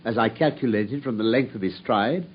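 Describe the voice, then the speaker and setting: An actor playing a coolly brilliant detective, explaining his deductions in a precise, measured way. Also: medium pitch, slight background noise, natural voice. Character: coolly brilliant, self-assured male detective. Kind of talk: 1950s radio drama acting. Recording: dull lo-fi old radio broadcast.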